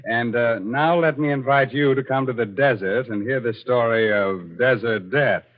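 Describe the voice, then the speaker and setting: A man's voice: medium pitch, moderate pace, close to an everyday voice. An American man playing a creepy, sardonic horror host on 1940s radio, wry and theatrical in his delivery. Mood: ghoulish, wry. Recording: muffled 1940s radio broadcast with music.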